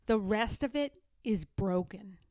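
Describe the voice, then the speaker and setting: A woman's voice: mid-range voice, slow, darkish tone, dry recording. A woman voicing an eager, earnest young badger girl in a children's storybook, plain-spoken and insistent. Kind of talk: children's storybook read-aloud.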